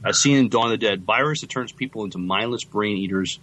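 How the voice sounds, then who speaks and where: Male co-host, fairly low voice, understated and a bit hesitant, casual genre-fan chat. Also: quick pace, a bit bright, breathy edge. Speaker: podcast co-host. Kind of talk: casual sci-fi podcast chat.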